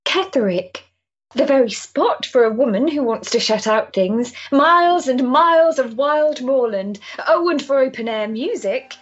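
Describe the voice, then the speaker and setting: Actress as high, husky genteel Victorian lady. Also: expressive, measured pace, lo-fi sound, full character voice. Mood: earnest, inviting. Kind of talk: period stage drama acting. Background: music.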